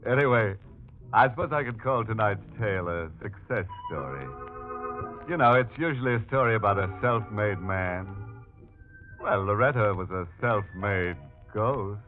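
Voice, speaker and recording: A sardonic, macabre host of an old radio horror series, played by a man, speaking fairly low with a wry, mock-folksy manner. The recording is very muffled, with music clearly playing behind. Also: lively intonation, normal speed, close to an everyday voice. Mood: wry, amused. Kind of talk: 1940s radio horror hosting.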